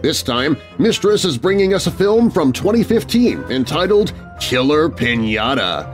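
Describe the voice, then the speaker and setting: Animated high-pitched male podcast host over music. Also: measured pace. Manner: upbeat, addressing fans directly. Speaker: host and announcer of a horror radio podcast. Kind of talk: scripted promotional announcement.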